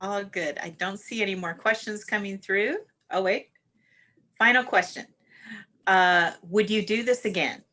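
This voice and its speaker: A woman's voice: mid-range voice, a little slow, sharp tone, a little hiss or hum. A woman hosting a casual live question-and-answer session over a video call. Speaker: host of a theatre Q and A.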